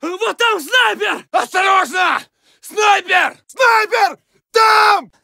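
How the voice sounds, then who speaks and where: Video game WWI Russian soldier: alarmed, very high-pitched urgent shouting, forceful edge. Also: male voice, slow pace, gravelly, no echo, clean studio sound, a faint crowd, full character voice. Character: alarmed Russian First World War soldier warning comrades. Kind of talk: shouted battlefield alert barks.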